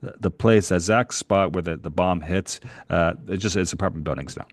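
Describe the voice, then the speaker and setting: A middle-aged man from Canada, co-hosting a podcast and telling animated, rambling travel stories with frequent chuckles. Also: sits low, fairly quick, dry recording. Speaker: podcast co-host. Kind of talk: casual chat, travel storytelling.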